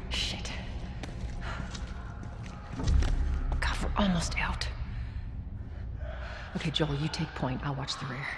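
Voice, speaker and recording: A woman's voice: low voice, a little slow, over music. An actress playing a tough, wary woman survivor in a video game, giving terse commands. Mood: tense, hushed. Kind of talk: naturalistic video game voice acting. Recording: very noisy game audio.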